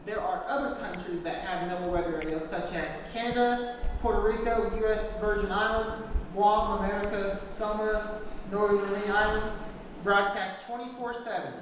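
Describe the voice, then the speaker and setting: A woman giving an informal class talk, partly reading from notes, speaking haltingly and repeating her phrases. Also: medium pitch, fairly even intonation. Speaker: presenter teaching a class. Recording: echoey hall, distant mic, noisy background.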